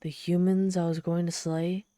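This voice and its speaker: Woman acting as ancient tsundere demoness, low-pitched, soft dark tone, haughty, teasing, lonely underneath, dry close ASMR roleplay. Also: level tone, normal speed, no echo, lightly acted. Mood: teasing, exasperated. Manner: haughty, theatrical, softening into shy vulnerability. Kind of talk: ASMR audio roleplay, addressing the listener.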